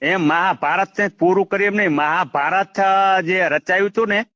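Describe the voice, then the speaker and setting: A man from Gujarat arguing in a phone conversation, halting and repeating his phrases, on a muffled call recording. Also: high voice, sharp tone, dry recording. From Gujarat, India.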